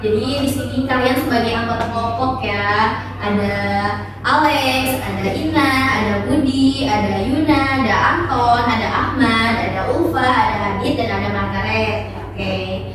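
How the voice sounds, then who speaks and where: A woman's voice: fairly high pitch, unhurried, a crowd in the background. A woman in her twenties leading a group counseling session as facilitator, speaking in a friendly manner with frequent fillers. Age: 20s. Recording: echoey room from far off, people around.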